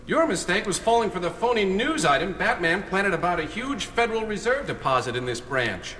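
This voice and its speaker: Eager teen boy hero, really high swoopy voice, superhero radio play w/ loud music behind. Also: big pitch swings, brisk, a little hiss or hum, exaggerated voice. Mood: bold, alert. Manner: exclamatory, earnest. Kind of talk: superhero audio drama acting.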